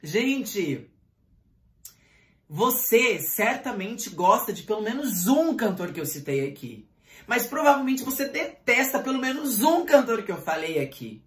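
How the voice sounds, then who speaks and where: A male singing teacher giving a video lesson, speaking with animated energy and firing rhetorical questions straight at the viewer. His voice carries a noticeable room echo around it. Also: high-pitched, a little slow. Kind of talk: online voice lesson. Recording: roomy echo.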